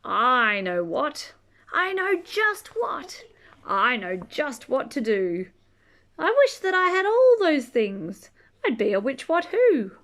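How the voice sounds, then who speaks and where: A woman reading a rhyming children's book aloud expressively, drawing out key words and chatting gently to young listeners. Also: high-pitched. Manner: animated, drawn-out key words, gentle questioning asides. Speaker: storybook reader reading to children.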